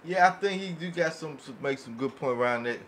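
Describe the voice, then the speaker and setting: Higher-pitched man chatting casually. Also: lively intonation, brisk, over a noisy crowd. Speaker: podcast panelist. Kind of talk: casual chat. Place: small podcast room. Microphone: studio mic on boom arm.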